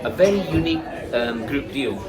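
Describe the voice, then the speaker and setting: A man's voice: medium pitch, lively intonation, brisk, over music. A man who runs a restaurant, chatting casually while he cooks and plugs its services. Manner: chatty, promotional. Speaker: restaurant chef and host. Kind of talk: casual chat while cooking. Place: busy restaurant. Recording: loud crowd chatter behind. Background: loud restaurant crowd.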